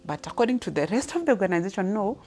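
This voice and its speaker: A woman's voice: medium pitch, sing-song, racing, darkish tone, slight background noise. A Kenyan woman in her thirties speaking off the cuff about her career, with many restarts and fillers. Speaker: leadership speaker. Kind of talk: personal story, unscripted talk.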